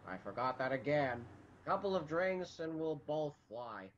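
A man's voice: a little high, big pitch swings, unhurried, slight background noise. Bewildered family man, muffled recording. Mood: brusque, wry. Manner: stammering, incredulous, colloquial. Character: agitated, bewildered family man, impatient and down on his luck. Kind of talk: radio drama acting.

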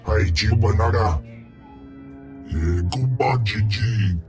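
A man's voice: fairly low pitch, slow, music in the background. A man voicing a huge, imperious slug-like alien crime lord in a video game. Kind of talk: video game cutscene acting in invented alien speech.

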